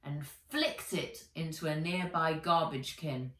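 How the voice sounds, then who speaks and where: A woman author reading her children's book aloud as a storyteller, in measured, expressive narration. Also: low-pitched. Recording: roomy echo, distant microphone.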